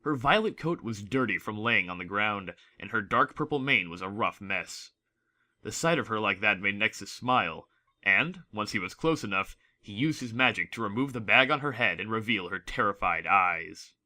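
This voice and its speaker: A man's voice: on the low side, lively intonation, normal speed, pushed and bright. A man reading scripted story narration as the narrator of a dramatic audiobook.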